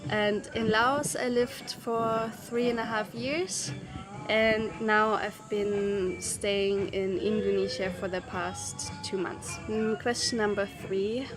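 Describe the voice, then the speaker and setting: A young woman from Germany, talking casually to camera about her life, with pauses and fillers. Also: medium pitch. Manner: thoughtful, unhurried, with frequent pauses and fillers. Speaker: travel vlogger. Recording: music playing underneath.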